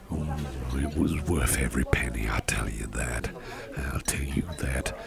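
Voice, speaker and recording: A man playing a genial, courteous monster boss in an ASMR roleplay, speaking softly and intimately in breathy half-whispers with a chummy, flattering manner. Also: music in the background, heavily acted. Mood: flattering, playful. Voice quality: very deep gravelly rumble, breathy half-whispers. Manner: unhurried, chummy, repeats phrases, chuckles. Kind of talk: ASMR roleplay, intimate soft speech to listener. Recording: restaurant crowd murmur behind. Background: restaurant chatter.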